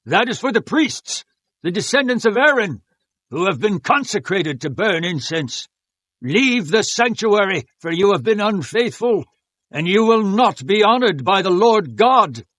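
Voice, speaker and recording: A courageous chief priest in a dramatized scripture recording. The voice is very high for a man and very dry, with a solemn, rebuking, declamatory delivery. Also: sing-song, measured pace, fairly bright tone, no echo, exaggerated voice. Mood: stern rebuke. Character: stern, courageous chief priest confronting a king.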